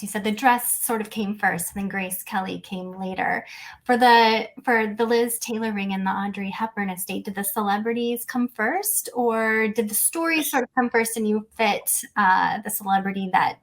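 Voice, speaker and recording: A woman's voice: mid-range voice, moderate pace. A woman hosting a podcast interview, asking prepared questions in a conversational way.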